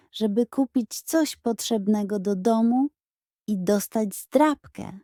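Woman, children's audiobook narrator, unhurried, mellow, slightly high voice, expressive, dry close recording. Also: no echo. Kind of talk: scripted children's bedtime story narration.

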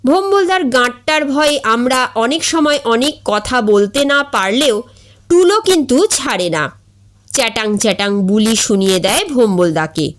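Slow, lively woman storyteller. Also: a little high, a little hiss or hum. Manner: expressive, slow and deliberate. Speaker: story reader. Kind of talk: scripted storytelling narration.

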